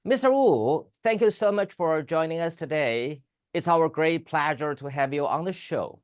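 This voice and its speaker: A middle-aged or older man from Taiwan with a Taiwanese accent, interviewing in polite, halting English as a non-native speaker whose first language is Chinese. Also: a little high, lively intonation, clean tone. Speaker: talk show host and interviewer. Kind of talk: formal interview with light banter.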